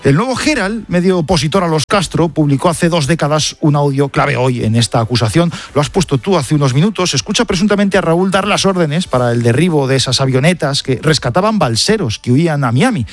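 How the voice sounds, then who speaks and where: Lively Spanish man, higher-pitched radio voice. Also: fairly quick, hardly any pauses, faint music behind. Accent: Spanish, from Spain. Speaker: press review journalist on radio show. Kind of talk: scripted press review with conversational asides.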